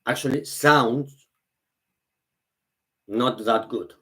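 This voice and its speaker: A man whose first language is Greek, speaking English slowly and earnestly to the camera. He often halts, repeats himself and restarts his phrases. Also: medium pitch, sharp tone. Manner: halting, repeats and restarts phrases, non-native grammar. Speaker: vlogger. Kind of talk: slow, earnest monologue to camera.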